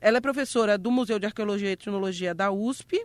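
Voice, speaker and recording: A woman's voice: mid-range voice, quick pace, no room sound. A woman from Rio de Janeiro who grew up in Salvador, hosting a cheerful chat and explaining local history, laughing often. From Rio de Janeiro, raised in Salvador. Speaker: host. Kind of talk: light educational radio chat.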